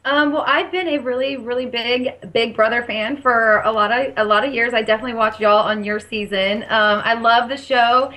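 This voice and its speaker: A woman with a raspy voice, chatting eagerly as a podcast guest and telling her story with quoted asides. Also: fairly high pitch, steady tone, moderate pace, hardly any pauses, pushed and bright. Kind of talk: casual podcast interview.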